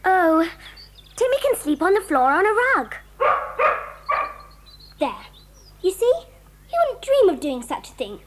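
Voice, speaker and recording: A neat and proper little girl in a children's adventure drama. The voice is very high and lively, with an eager, slightly prim manner. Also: unhurried, long pauses, heavy background noise, heavily acted. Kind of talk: children's audio drama acting.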